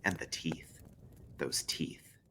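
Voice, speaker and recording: A man reading a story aloud as a librarian, expressive and dramatic, sometimes dropping into hushed asides. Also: slow, whispered.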